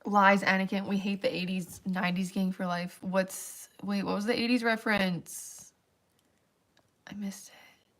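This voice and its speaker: A young woman with a raspy voice, tired and a little worn at a late hour, chatting in a rambling, filler-heavy way as she answers her stream's chat. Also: medium pitch, little pitch movement, measured pace. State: tired, voice wearing thin late at night. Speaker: livestreamer. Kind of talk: late-night casual stream chat, reading chat aloud.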